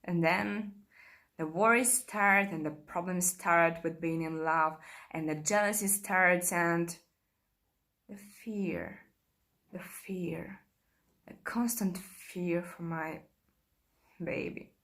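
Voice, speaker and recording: A woman performing a modern theatrical monologue as a fragile, exhausted young actress, halting and emotional, wavering between despair and faith, sometimes drifting into whispers. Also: on the low side, fairly even intonation, a bit bright. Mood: wounded, fearful. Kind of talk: modern theatrical monologue played as a video call.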